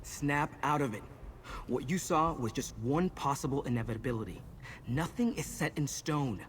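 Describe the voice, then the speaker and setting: An actor playing a resolute, level-headed ally in a fantasy video game, speaking firmly and explaining things. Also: male voice, mid-range voice, expressive, normal speed, a little hiss or hum, close to an everyday voice. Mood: urgent, firm. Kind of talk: video game dramatic acting.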